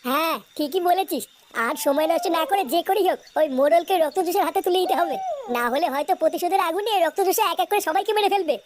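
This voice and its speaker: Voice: extremely high pitch, fast, background noise. A performer voicing an earnest, coarse-mouthed young village ringleader in a comic cartoon dub. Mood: scheming, bossy.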